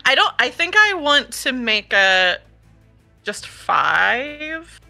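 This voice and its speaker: A woman's voice: high-pitched, big pitch swings, slow pace, creaky, sharp tone, faint music behind. A woman playing a tabletop game, hesitant with many fillers and often giggling. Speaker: player.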